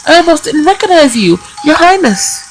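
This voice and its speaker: A humble, very young castle mage acted by a woman, pitched up and easily flustered. The speech is hesitant and deferential, stumbling over itself, as in a visual novel read-aloud with music behind. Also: sing-song, moderate pace, rough recording. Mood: startled, deferential.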